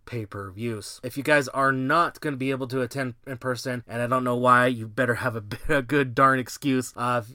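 A man's voice: mid-range voice, fairly even intonation, normal speed, hardly any pauses, bright, forceful tone, no room sound, studio quality. A man hosting a podcast, talking in a rambling, conversational way through sign-offs and plugs. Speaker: podcast host.

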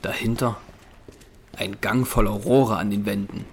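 A man's voice: on the low side, steady tone, unhurried, a little hiss or hum. A man reading scripted narration for an audio drama. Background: music.

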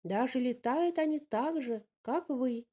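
A woman's voice: high voice, measured pace, mellow, slightly rough. A woman voicing a sly, ingratiating female bat in a fairy tale, pleading her case courteously. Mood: persuasive. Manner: pleading, courteous self-justification.

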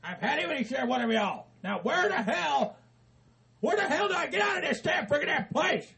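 A man playing a cranky, foul-mouthed old hillbilly who yells and laughs as he rants. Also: extremely high pitch, sing-song, brisk, vocal fry, over a noisy crowd, exaggerated voice. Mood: ranting. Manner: foul-mouthed, yelling, laughing while ranting.

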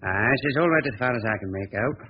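An actor playing a blunt, dour middle-aged policeman in a 1940s radio drama, plain-spoken and gruff, on an old recording. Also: mid-range voice, expressive, quick pace. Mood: dry, grumbling. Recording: dull, noisy 1940 radio recording.

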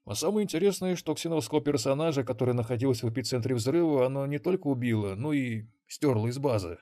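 Seasoned old granddad voiced by a narrator, calm, wistful, measured, mid pitch, dry recording. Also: male voice, no echo, natural voice. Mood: resigned. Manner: measured, reflective.

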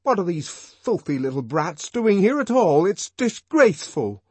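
A man's voice: high-pitched, sing-song, unhurried, breathy edge, no echo, voice pushed up, exaggerated voice. A man playing a trembling, indignant young man. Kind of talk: audiobook reading.

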